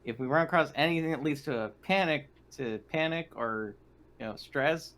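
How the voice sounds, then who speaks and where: A man's voice: fairly high pitch, normal speed, fairly bright tone. A man playing a tabletop roleplaying game, talking casually with the group about his moves.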